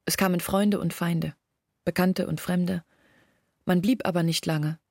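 Radio drama: resigned outcast village woman, low and creaky, earnest measured storytelling, close dry sound. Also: fairly even intonation, a little mellow, no room sound. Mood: reflective recounting. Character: Olga, outcast village woman recounting family's disgrace, resigned. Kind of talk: literary radio drama monologue.

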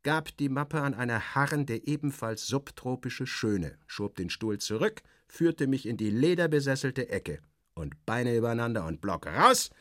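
A man's voice: mid-range voice, lively intonation, unhurried, a bit bright, no echo, clean studio sound. A man narrating a literary story in the first person, in a wry, clipped and theatrical manner.

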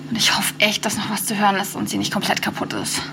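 A woman's voice: mid-range voice, little pitch movement, quick pace, close to an everyday voice. An actress playing a young woman named Mia, muttering hopefully to herself as she tests an old cassette. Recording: distant, noisy, gravelly.

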